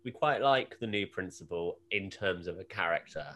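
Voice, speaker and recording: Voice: deep voice, animated, normal speed, fairly bright tone, slight background noise. A podcast co-host bantering casually, with a playful, wry way of talking. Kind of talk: casual banter about a TV episode.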